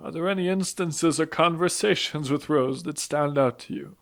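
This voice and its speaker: A solemn, courteous priest, played by a man, speaks in a fairly high male voice. He questions people politely, in the manner of a religious audio drama. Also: normal speed, lightly acted. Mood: courteous, grave.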